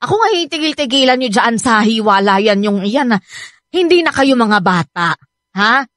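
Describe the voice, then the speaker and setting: A woman's voice: fairly high pitch, expressive, moderate pace, fairly bright tone, no room sound, clean studio sound. An actress with a Filipino accent playing a doting, bossy middle-aged mother in a radio drama. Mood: bossy, fussing. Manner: fussy, chatty, commanding.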